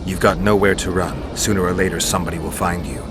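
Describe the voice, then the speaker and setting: Game lead: stern monster hunter played by a man, low voice, terse and unyielding, score playing behind. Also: fairly even intonation, quick pace, lightly acted. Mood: grim, demanding. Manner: blunt, terse, sceptical. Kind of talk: dramatic video game acting. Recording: music under the voice.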